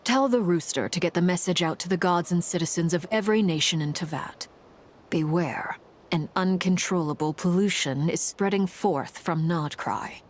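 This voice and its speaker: A woman playing a grave, dutiful military officer in a video game, speaking in a stern, measured, formal way. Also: on the low side, a little hiss or hum, lightly acted. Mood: grave, resolute. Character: grave, dutiful female Fatui officer giving orders and warnings. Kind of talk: scripted video game voice acting.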